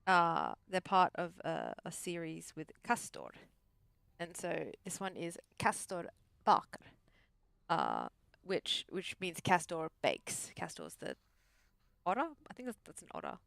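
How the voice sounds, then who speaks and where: A woman's voice: on the low side, creaky, fairly bright tone, clear, resonant tone, dry recording. A woman chatting casually on a gaming livestream, thinking aloud as she talks herself through choices. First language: English. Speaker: streamer, therapist answering questions.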